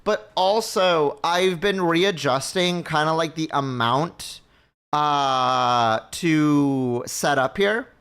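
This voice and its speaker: A man's voice: on the high side, slow, fairly bright tone, smooth. A man from Mexico chatting casually with his stream viewers, rambling and thinking aloud as he goes. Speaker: livestream host.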